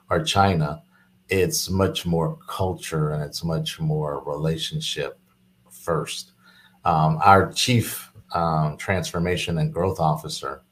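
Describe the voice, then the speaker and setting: A man who is a business executive guest in an interview, explaining steadily with many fillers and quick affirmations. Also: very deep voice, slight background noise. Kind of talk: casual interview chat.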